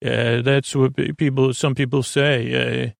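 A man with a creaky voice hosting a podcast as a soothing, gently whimsical bedtime monologue, meandering and hesitant, with many tangents and pauses. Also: medium pitch, flat delivery, no echo, studio quality. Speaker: podcast host.

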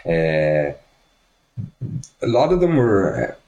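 A man's voice: low-pitched, big pitch swings, very slow, darkish tone, roomy sound, far from the mic, muffled recording. An Irish man hosting a podcast, asking his guest rambling, curious questions. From Ireland. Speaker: podcast host. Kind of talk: casual podcast conversation.

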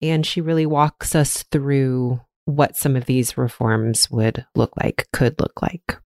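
A woman's voice: very deep voice, slow, darkish tone, no room sound, studio quality. A woman from Texas co-hosting a podcast, moving between introductions and casual conversation. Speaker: podcast co-host.

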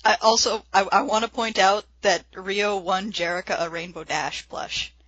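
Canadian woman on a podcast, casual chat, bright crisp-edged voice, normal pitch. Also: steady tone, normal speed, dry recording, muffled recording. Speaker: podcast co-host. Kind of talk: casual comic book discussion.

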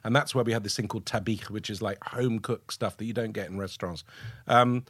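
A man's voice: on the low side, steady tone, fast, a bit bright, breathy edge, no echo. Chatty man, dry close sound. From Lebanon. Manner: chatty and enthusiastic, with many "yeah"s and restarts. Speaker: podcast host. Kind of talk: casual chat.

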